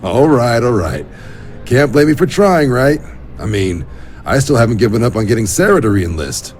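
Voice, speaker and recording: An actor playing an easygoing, teasing space fleet recruiter in a video game, casual and wry. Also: male voice, mid-range voice, very animated, normal speed, darkish tone, soft background music, natural voice. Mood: friendly, wry. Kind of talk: video game character dialogue.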